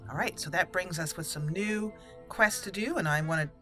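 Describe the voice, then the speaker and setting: A woman's voice: fairly low pitch, moderate pace, pushed and bright, faint music behind. A woman hosting a video game roleplay let's play, talking in a conversational, explanatory way. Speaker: gaming channel host. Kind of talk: roleplay let's play commentary, reading character dialogue in between.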